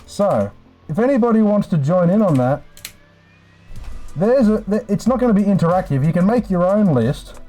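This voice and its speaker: High-pitched, mellow man chatting on a livestream. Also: expressive, moderate pace. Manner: laid-back, sweary, chummy address to viewers. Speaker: live streamer host. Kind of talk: casual livestream chat. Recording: music playing in the background. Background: background music.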